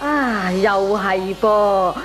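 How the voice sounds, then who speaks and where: An actress playing a sceptical, easily riled housewife in a comic radio drama, with a Hong Kong Cantonese accent. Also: female voice, mid-range voice, extremely slow.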